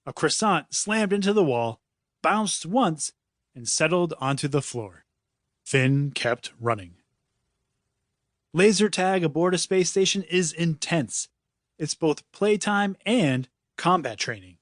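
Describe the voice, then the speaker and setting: Animated man narrating a children's story. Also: a little high, slow, no room sound, clean studio sound. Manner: dramatic, playful storytelling. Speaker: author reading his own audiobook.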